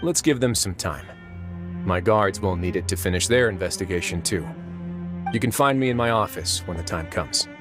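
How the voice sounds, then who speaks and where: An actor playing a calm, shrewd male duke in a video game, speaking in a measured, deliberate way with dry humor. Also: low voice, music in the background, natural voice.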